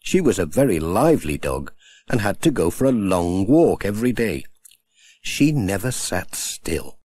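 A man reading a children's storybook aloud with gentle, expressive storytelling. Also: deep voice, a little slow, breathy edge. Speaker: audiobook narrator.

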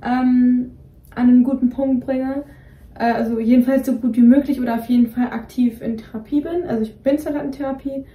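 A woman's voice: high voice, fairly even intonation, fairly quick, mellow. A young woman talking casually as a co-host, rambling with many fillers and restarts. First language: Greek is partly her home language. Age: young adult. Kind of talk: casual chat. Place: dim bedroom, indoors. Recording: roomy echo, voice somewhat distant from mic.

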